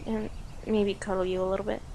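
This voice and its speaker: A woman playing an affectionate, playful girlfriend in an audio roleplay, talking softly and giggly to the listener with lingering pauses. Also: mid-range voice, slight background noise, natural voice. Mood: tender. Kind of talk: audio roleplay, intimate slow-paced monologue to listener.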